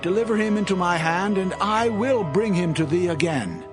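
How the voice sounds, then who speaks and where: An anxious brother, acted by a man, speaks with urgency in a fairly high voice. Soft music plays beneath him. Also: expressive, normal speed. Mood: urgent. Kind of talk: dramatized scripture reading.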